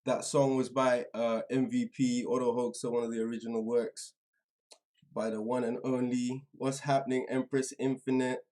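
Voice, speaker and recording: A man hosting a live stream in casual, slangy chat, halting and trailing off as he goes, a little away from the microphone. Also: mid-range voice, little pitch movement, a little mellow. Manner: informal, slangy address to viewers; halting, distracted, trailing off mid-sentence. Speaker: livestream host. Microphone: some distance from the microphone.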